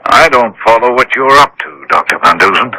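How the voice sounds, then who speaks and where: An actor playing an uneasy man caught up in a mystery, in a radio drama, with a husky voice. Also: mid-range voice, expressive, moderate pace, pushed and bright, dry recording, low-quality recording. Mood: anxious. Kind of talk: 1970s-80s radio drama acting.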